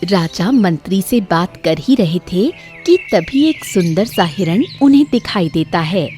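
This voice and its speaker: A woman's voice: on the low side, lively intonation, unhurried, dark tone, faint music behind. A woman reading scripted narration for a moral tale as storyteller.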